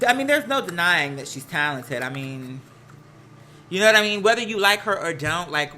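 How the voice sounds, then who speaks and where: Bright, sassy American live streamer. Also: medium pitch, a little hiss or hum. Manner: sassy, slangy, peppered with "girl" and "honey"; animated, rambling, jumps between asides. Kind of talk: casual live chat to viewers, gossipy commentary.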